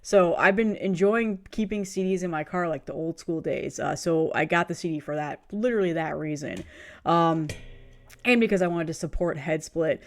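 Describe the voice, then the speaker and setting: Sniffly, excited woman chatting casually. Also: lowish voice, moderate pace, faint music behind. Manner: enthusiastic, rambling, laughs often. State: has a cold, sniffly. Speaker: music reviewer. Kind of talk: casual video review chat.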